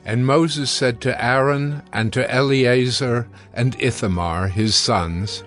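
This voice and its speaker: A male narrator with a mid-range voice reads scripture as a dramatized story. His delivery is measured and solemn, and music plays beneath it. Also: mid-range voice, a bit bright. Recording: background music under narration.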